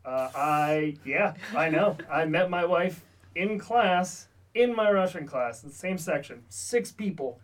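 A young man talks as a guest, joking and poking fun at himself, quick to laugh. His voice sits a little high for a man. He sounds set back a bit from the microphone. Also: expressive, recorded from a distance. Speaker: guest, Army Russian linguist. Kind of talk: casual interview chat.

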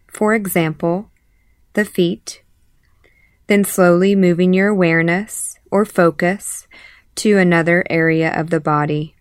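A woman leading a guided meditation as an instructor, calmly and in short, measured phrases with pauses. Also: on the low side, no room sound, studio quality. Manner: calm, measured, pausing between short phrases. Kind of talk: guided body scan meditation.

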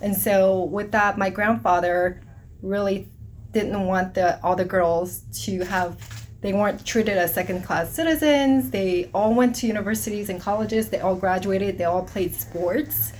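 A woman's voice: medium pitch, a little slow, a little mellow, a little hiss or hum. A middle-aged woman from South Korea, an army hospital deputy commander, earnestly answering interview questions while searching for her words.

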